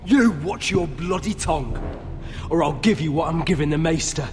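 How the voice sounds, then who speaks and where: A man's voice: a little high, expressive, moderate pace, a little mellow, natural voice. A man playing an arrogant, sadistic young lord in a fantasy video game, taunting and sneering as he bullies. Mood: angry, commanding. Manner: taunting, sneering, foul-mouthed. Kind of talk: dramatic video game acting. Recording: long reverb, music behind.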